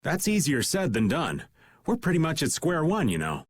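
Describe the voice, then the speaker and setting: Swooping, jokey older rogue. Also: male voice, a little high, huge pitch swings, normal speed, slightly rough, exaggerated voice. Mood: wry. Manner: slangy, theatrical, jokey. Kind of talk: video game cutscene acting.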